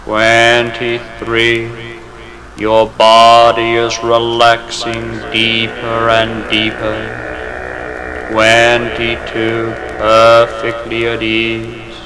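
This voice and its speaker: A man speaking as a hypnotist, counting down in a slow, soothing, repetitive way and then giving firm suggestions. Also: medium pitch, monotone, rough recording. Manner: commanding suggestions. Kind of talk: hypnosis induction from an old record. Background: music playing under the voice.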